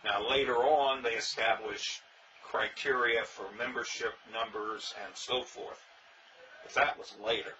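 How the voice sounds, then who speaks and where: Wry older man with a bright tone. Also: mid-range voice, fairly even intonation, measured pace, recorded from a distance. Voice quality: loud voice. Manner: wry, dry humor, plainspoken. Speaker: interviewee, former king of a historical reenactment society. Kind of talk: casual storytelling interview. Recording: dull sound, faint noise, from off mic.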